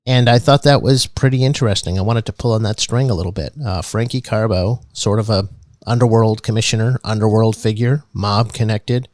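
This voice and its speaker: Earnest, halting male podcast host. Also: on the low side, darkish tone, dry recording, clean studio sound. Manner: earnest, halting, with many restarts. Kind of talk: mock public-radio narration and interview.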